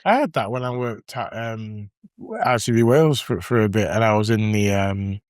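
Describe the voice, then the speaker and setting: Relaxed Mancunian man, dry podcast mic. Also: fairly low pitch, normal speed, clean tone, dry recording. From Manchester. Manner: relaxed storyteller, lots of "yeah" backchannel. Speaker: podcast co-host. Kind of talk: casual podcast chat.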